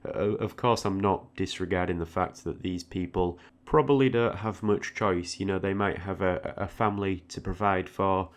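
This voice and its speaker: A man's voice: sits low, moderate pace, few pauses. A man giving an informal, reflective audio log, often repeating words hesitantly as he goes. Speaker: art tutorial creator, channel host.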